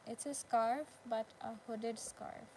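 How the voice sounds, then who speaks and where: A woman hosting a casual craft livestream, chatting with viewers in short, halting phrases with pauses. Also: a little high, steady tone, a little mellow. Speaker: live stream host, crocheter. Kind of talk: casual livestream chat with viewers, showing handmade work. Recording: clearly noisy background ambience.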